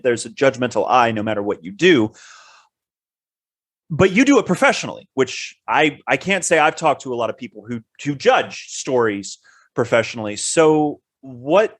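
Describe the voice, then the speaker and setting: A man co-hosting a conversational interview, thoughtful and deliberate, rephrasing as he goes. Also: medium pitch, no room sound. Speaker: podcast co-host.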